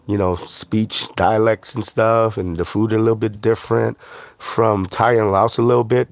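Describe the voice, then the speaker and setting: A man's voice: on the low side, dry recording, studio quality. A middle-aged man who grew up in Cambodia speaking Khmer first, a chef and author talking earnestly in an interview, with many fillers. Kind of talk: interview conversation.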